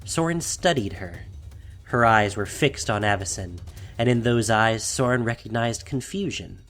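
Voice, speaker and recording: Man narrating dark fantasy over faint music. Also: on the low side, lively intonation, normal speed. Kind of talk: dramatic scripted story narration.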